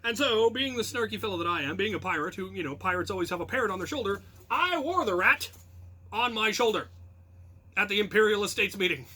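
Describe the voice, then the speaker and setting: A man with a high, bright, forceful voice hosts a casual storytelling video. He rambles through his anecdotes in a self-deprecating way, leaning on words for animated emphasis. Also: fairly quick, husky. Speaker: storyteller, channel host.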